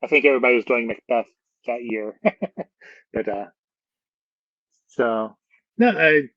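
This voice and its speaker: A man's voice: medium pitch, no echo. A man hosting a casual interview, with a hesitant, loosely phrased way of asking things. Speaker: interview host. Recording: dull, lo-fi, dry sound.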